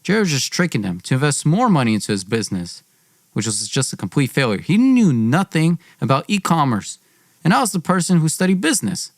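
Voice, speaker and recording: A man's voice: on the high side, big pitch swings, a little fast, dry recording, studio quality. A young man hosting a podcast, telling a personal story in an informal, dramatized way. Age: young adult.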